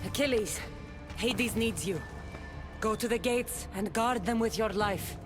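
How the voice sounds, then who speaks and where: A woman's voice: medium pitch, a little slow, lightly acted. A woman playing a resolute, loyal Spartan warrior in a video game, speaking in an earnest, commanding way. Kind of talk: video game cutscene acting. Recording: music behind the voice.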